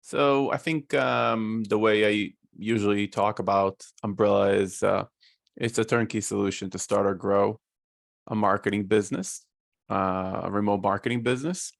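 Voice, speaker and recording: A gravelly, fairly low-voiced man with an Israeli accent speaks in a measured, step-by-step way over a video call. His voice sits close and dry, with no room sound around it. He pauses on fillers as he explains. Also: fairly even intonation, no room sound. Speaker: guest. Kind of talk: casual interview talk.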